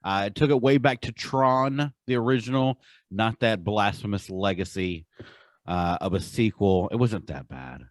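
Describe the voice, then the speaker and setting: A man with a fairly low voice talks casually as a podcast co-host. The sound is very dry with no room echo. He is informal, slangy and free with his opinions. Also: moderate pace.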